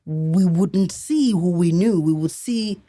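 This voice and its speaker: A middle-aged South African woman telling a story as a podcast guest, earnest and halting, often restarting her sentences. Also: on the low side, mellow. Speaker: actress guest.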